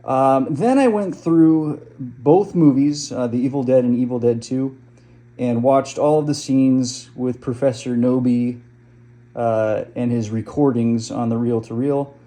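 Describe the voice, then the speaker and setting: A man's voice: medium pitch, a little slow, mellow. A man presenting a demonstration in a casual, explanatory chat. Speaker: presenter demonstrating a restored tape player.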